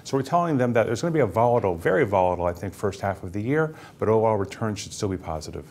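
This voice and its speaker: Fast-talking, low-voiced man, market strategist. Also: very animated, a little mellow, a little hiss or hum. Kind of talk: panel interview commentary. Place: TV news studio. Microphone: lapel mic.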